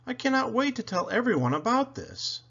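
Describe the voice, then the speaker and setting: An eager young steam engine from a children's storybook, voiced by a man. The pitch sits well up high and swings about in wide-eyed exclamation. The sound is a little lo-fi. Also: very animated, moderate pace, gravelly, fairly bright tone, husky, full character voice. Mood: amazed, excited. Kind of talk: children's storybook character reading.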